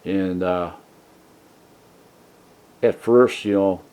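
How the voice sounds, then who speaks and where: Soft-spoken man with a very low, deep voice; a casual rambling vlogger full of fillers and restarts. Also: extremely slow, clear, resonant tone. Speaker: YouTube channel host. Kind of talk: casual rambling vlog talk. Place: trailer home. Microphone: desk mic set for a soft voice.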